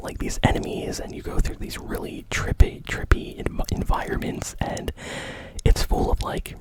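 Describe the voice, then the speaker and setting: An ASMR host whispering gaming news in a rambling, informal way. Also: poor audio.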